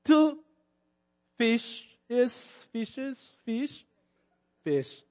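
A man from Kenya preaches in a high, ringing voice. His sermon climbs to forceful emphatic peaks, and he acts out the people in his stories. The sound carries a long hall reverb around him. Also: sing-song, drawn-out words. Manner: preaching with rising emphatic peaks and acted-out quotes. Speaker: pastor.

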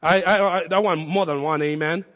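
A Kenyan-accented male pastor preaching in a high-pitched voice, pressing hard on his points and then dropping low and close. His words ring out with a long reverb from the church hall around him. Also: fairly even intonation, moderate pace. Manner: impassioned preaching, rising loud then dropping low and intimate. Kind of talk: sermon.

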